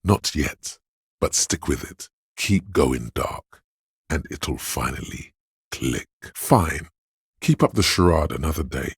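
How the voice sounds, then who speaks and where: A man's voice: expressive, slow, no room sound, clean studio sound, heavily acted. A man voicing a video game's inner voice of pain, a grim, sardonic, goading presence narrating with brooding deliberation. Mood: grim, coaxing. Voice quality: deep, gravelly, husky. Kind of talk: dramatic second-person game narration.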